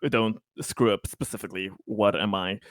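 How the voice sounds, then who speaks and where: A man playing a tabletop game, speaking casually in halting, tentative phrases. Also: on the low side, steady tone, no echo, clean studio sound.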